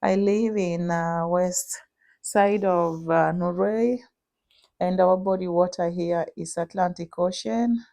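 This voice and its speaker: A young woman with a Kenyan accent talking through her cooking in a casual vlog, pausing often with "uh". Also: on the low side, fairly even intonation, vocal fry, dark tone, dry recording, clean studio sound. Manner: unhurried, many "uh" pauses while cooking. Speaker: vlogger.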